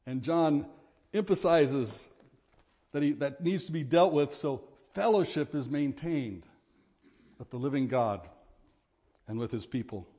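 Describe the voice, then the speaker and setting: Middle-aged guy preaching, big voice, earnest, gets forceful when he's urging folks. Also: on the high side, expressive, a little slow, long pauses. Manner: earnest, building to forceful exhortation. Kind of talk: sermon.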